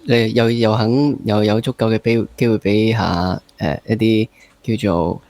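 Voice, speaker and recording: A man with a Hong Kong Cantonese accent interviewing casually, summing up and gently challenging with leading questions. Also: fairly low pitch, steady tone, normal speed. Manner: probing, summing up and playfully challenging the guest. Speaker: podcast interviewer.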